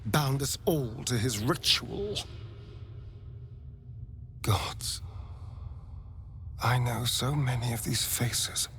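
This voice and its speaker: An actor playing a vain, guilt-haunted vampire spawn in a video game, with a theatrical, expressive delivery. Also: male voice, medium pitch, drawn-out words, pauses often. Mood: horrified, remorseful. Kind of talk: dramatic video game acting.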